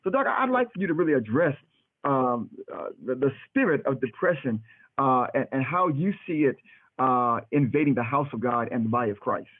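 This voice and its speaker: Husky male radio host, muffled and roomy. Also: medium pitch, big pitch swings, a little fast. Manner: effusive, brotherly, repeats phrases. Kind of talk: casual warm radio interview. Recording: muffled phone-like radio audio, roomy echo.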